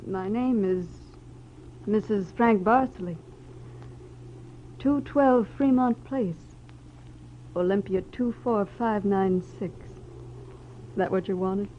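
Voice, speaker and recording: A woman's voice: medium pitch, takes it slowly, pauses often, close to an everyday voice. Woman playing a guarded noir lady, muffled old radio. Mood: guarded, cool. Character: guarded, wistful married woman, a noir femme fatale. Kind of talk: 1940s radio drama acting. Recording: dull, hissy old radio recording.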